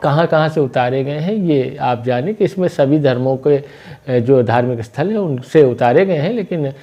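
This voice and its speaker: Male local news presenter, soft-edged mellow tone, mid pitch, explains step by step conversationally. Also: animated, moderate pace.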